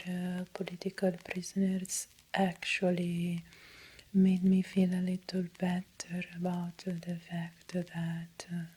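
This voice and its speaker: A woman with an Italian accent playing an uneasy doctor confessing in a breathy, halting ASMR roleplay whisper. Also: on the low side, mellow. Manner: slow, halting, hesitant. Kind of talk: soft-spoken ASMR roleplay.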